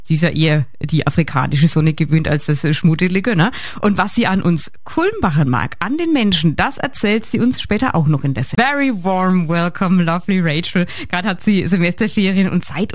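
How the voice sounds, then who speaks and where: A woman's voice: lowish voice, dry recording. A woman hosting a radio show from a studio, chatty and colloquial. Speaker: radio host. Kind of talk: lively radio presenting. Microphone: studio microphone.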